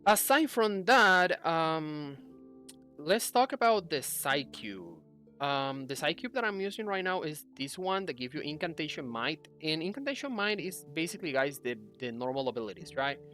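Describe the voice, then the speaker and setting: A man's voice: a little high, big pitch swings, moderate pace, pushed and bright. A young man giving a casual, hesitant game guide as a video host, explaining things and correcting himself as he goes. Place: indoor room at a computer. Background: music.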